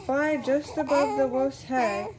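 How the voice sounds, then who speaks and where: A woman's voice: high voice, slow. A woman voicing a brave, clever young boy hero who calls out boldly in a children's story, on a noisy home recording. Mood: bold, urgent. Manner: bold, calling out.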